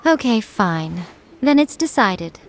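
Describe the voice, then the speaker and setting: A woman's voice: mid-range voice, big pitch swings, slow. A woman playing a sly, elegant fox shrine maiden in an anime game, coy, nonchalant and teasing. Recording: music behind the voice.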